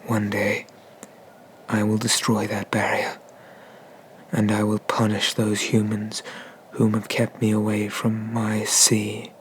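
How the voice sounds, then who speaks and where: Slow, low-voiced ancient dragon god played by a man. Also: completely flat delivery, slight background noise, rough recording. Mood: bitter, vowing. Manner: slow, deliberate, formal speech with murmured, breathy whispers. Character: ancient, haughty male Eastern dragon god, condescending but merciful. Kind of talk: ASMR roleplay, scripted.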